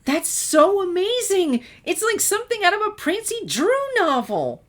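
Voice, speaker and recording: An eager, bookish teenage girl, acted out by a woman narrator, pitched well up and easily amazed. She gushes and fires off questions in a breathless, youthful way, as in an animated storybook reading. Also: normal speed. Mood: amazed, excited. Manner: gasping, gushing, eager questions.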